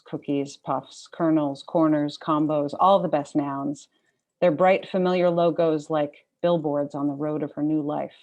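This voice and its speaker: A woman with a low, creaky voice reads literary fiction. She is the author of the book, presenting her own prose at a literary event. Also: darkish tone. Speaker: debut novelist reading from own book.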